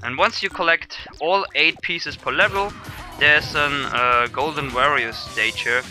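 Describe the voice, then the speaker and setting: A man's voice: a little high, a little slow, bright, forceful tone, faint music behind. A man from Germany with a German accent, giving rambling, hesitant gameplay commentary with lots of fillers. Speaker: let's player.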